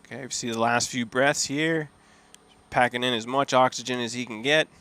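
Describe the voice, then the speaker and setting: A man calmly commentating on a live freediving competition, admiring and easygoing, with frequent filler pauses. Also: medium pitch, smooth. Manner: relaxed, admiring, many fillers. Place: outdoors at a seaside dive event.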